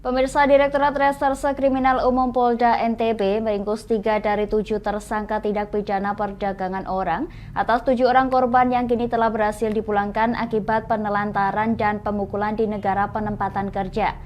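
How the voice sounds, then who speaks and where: A woman news anchor greeting viewers and presenting headlines in a formal, measured way. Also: medium pitch, little pitch movement, darkish tone, faint music behind.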